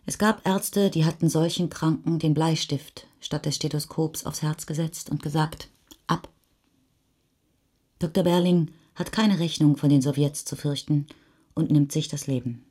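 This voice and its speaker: A woman with a husky voice narrating literary prose for a radio feature. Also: low-pitched, steady tone, measured pace, warm tone.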